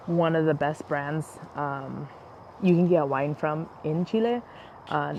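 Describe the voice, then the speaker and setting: A woman's voice: deep voice, a little mellow, highs cut off. A woman from the United States whose first language was Spanish, hosting a casual wine podcast in a chatty way, with many "like"s and "um"s. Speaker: podcast host. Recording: faint background noise, passing airplane.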